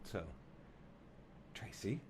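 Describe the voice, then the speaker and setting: A man's voice: very slow, darkish tone. A man with a head cold hosting a podcast in a casual, conversational way. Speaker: podcast host. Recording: faint background noise.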